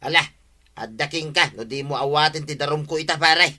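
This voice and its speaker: A man's voice: fairly high pitch, brisk, sharp tone. A man with an Ilocano accent playing an aggrieved, stubborn village husband in a radio comedy drama. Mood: indignant.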